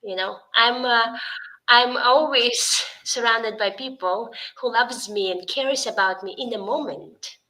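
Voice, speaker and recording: A woman, a podcast guest talking in a casual interview, in halting full of "like" and "you know.". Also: fairly high pitch, bright, forceful tone, poor audio. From Russia.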